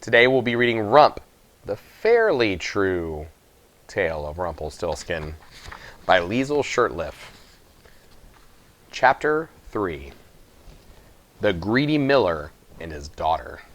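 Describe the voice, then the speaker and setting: A man's voice: low-pitched, big pitch swings, takes it slowly, long pauses, a bit bright. A man narrating a children's novel aloud from the text as a storybook reader.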